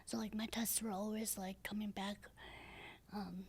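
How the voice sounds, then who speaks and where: A woman in her thirties with a low, raspy, breathy voice, speaking softly and hesitantly as a podcast guest, with many fillers and restarts. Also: level tone, measured pace. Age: 30s. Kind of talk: casual interview talk.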